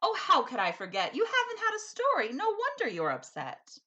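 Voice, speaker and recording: A woman voicing a brave, kind young princess from a storybook in a sweet, singsong, expressive manner. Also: extremely high pitch, normal speed, dull audio, voice pushed up, exaggerated voice. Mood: gentle, soothing.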